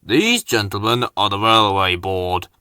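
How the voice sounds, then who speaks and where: A man's voice: lowish voice, expressive, a little slow, bright, forceful tone, clean tone, no echo, studio quality, voice pushed down. Man as a proud, authoritative railway boss. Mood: formal.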